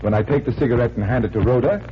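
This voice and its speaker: An actor playing a genial magician detective in a 1940s radio show, patiently explaining tricks. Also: male voice, mid-range voice, rapid-fire, close to an everyday voice. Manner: patient, instructive. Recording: muffled, hissy 1940s radio recording.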